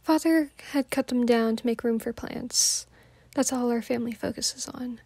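A woman's voice: fairly high pitch, moderate pace, warm tone, no echo. A woman playing a wistful farm girl who longs for the outdoors, in a plain-spoken storytelling monologue. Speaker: student performer. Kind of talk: dramatised oral-history monologue.

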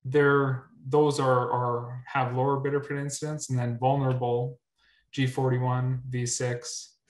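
A man's voice: medium pitch, flat delivery, a little slow. Man presenting on a video call, roomy. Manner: repeats words often, many ums. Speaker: researcher presenting at a grower meetup. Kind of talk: webinar presentation. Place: indoor room. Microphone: webcam on video call. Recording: roomy echo.

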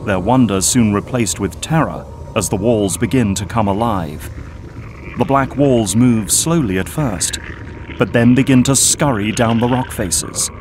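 A man giving scripted narration for an audio drama in an even, descriptive manner. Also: mid-range voice, a little hiss or hum.

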